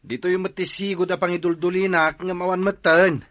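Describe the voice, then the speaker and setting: A man's voice: sits high, level tone, fairly quick, a bit bright. A man acting a helpless, whiny, grumbling husband in an Ilocano radio drama, with an Ilocano accent. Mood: flustered. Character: helpless, grumbling husband always late for work.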